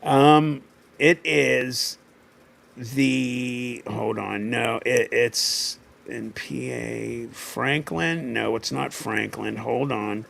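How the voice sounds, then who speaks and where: A man hosting a casual livestream, talking haltingly and searching for words as he goes. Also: mid-range voice, fairly even intonation, creaky, fairly bright tone, a little hiss or hum. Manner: halting, full of fillers and restarts while looking things up. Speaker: host.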